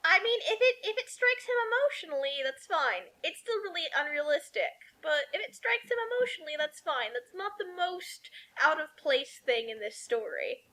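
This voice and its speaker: A woman's voice: high-pitched, animated, normal speed, few pauses, pushed and bright. A woman giving casual, critical commentary on a story, with an incredulous, sarcastic manner.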